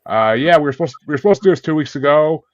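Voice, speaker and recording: A man's voice: fairly high pitch, lively intonation, very fast, no room sound. A man hosting a casual music podcast, chatting informally and swearing freely. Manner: casually profane. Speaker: host of a music ranking show. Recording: dull, lo-fi microphone sound.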